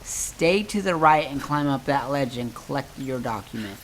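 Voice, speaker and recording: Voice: medium pitch, animated, normal speed, a little hiss or hum. A host narrating a casual step-by-step video game guide.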